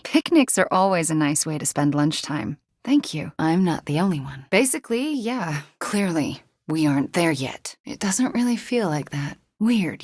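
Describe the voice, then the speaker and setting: A brooding young sorceress hero from a video game. Her voice is fairly low and husky with a soft, breathy edge, recorded very dry. She speaks in a measured, earnest and intimate way, as someone who carries guilt. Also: no room sound. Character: brooding, remorseful young woman sorceress superhero. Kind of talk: dramatic video game character dialogue.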